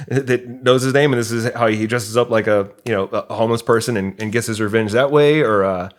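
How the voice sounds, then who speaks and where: A man hosting a podcast, chatting casually and agreeably, feeling his way through questions in a halting manner. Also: lowish voice. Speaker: podcast host, audio producer. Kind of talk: casual interview chat.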